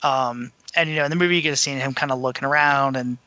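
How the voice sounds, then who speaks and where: A man's voice: mid-range voice, steady tone, fast, pushed and bright, no room sound, muffled recording. A man co-hosting a podcast, talking casually as a fan and putting questions to the others. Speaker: podcast co-host.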